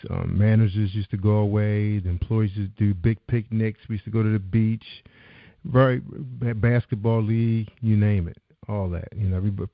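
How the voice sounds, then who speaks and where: Male host, deep gravelly tone, chatty rambling style, lo-fi dry sound. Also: no room sound. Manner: chatty and loose, rambling questions with restarts. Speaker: interview host. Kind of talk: casual interview talk.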